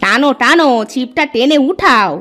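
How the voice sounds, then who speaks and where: A woman voicing a sharp-tongued, scolding village wife and mother in a cartoon, with a nagging, scornful manner. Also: high voice, lively intonation, moderate pace, faint music behind. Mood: bossy. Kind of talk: exaggerated Bengali cartoon voice acting.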